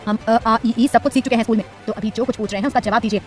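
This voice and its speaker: A woman's voice: medium pitch, racing, faint music behind, close to an everyday voice. A woman voicing a stern, possessive young husband who speaks in a formal, commanding way. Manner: formal, clipped, authoritative.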